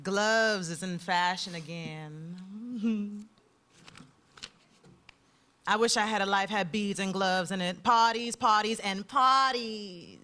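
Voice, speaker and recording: Restless dissatisfied woman, bright cutting voice, mid pitch, grumbling, colloquial, stage play. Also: slow pace. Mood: wistful, resentful. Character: bored, discontented woman longing for parties. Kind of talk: stage play performed for radio.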